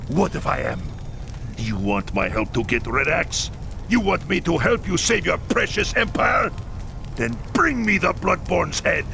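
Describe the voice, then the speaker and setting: Gravelly, embittered clan leader. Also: male voice, a little high, sing-song, unhurried, background noise, exaggerated voice. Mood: grim demanding. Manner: indignant, heated, dramatic. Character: bitter, vengeful human clan leader, grieving husband and father. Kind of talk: video game cutscene acting. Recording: loud music behind.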